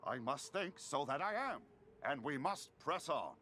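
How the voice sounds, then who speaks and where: A man voicing a troubled otherworldly being in a video game, speaking formally and haltingly, questioning itself. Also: on the high side, very animated, bright, forceful tone, slight background noise, full character voice. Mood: troubled, brooding.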